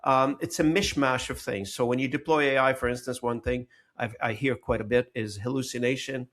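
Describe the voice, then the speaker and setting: A man's voice: mid-range voice, steady tone, a little fast, a bit bright. Man hosting a conversational interview. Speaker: podcast host.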